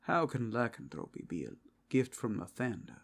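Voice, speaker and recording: A man's voice: mid-range voice, wildly swooping pitch, normal speed, creaky, breathy edge, full character voice. A man voicing a skeptical, guarded male werewolf who speaks tersely in a fantasy ASMR roleplay.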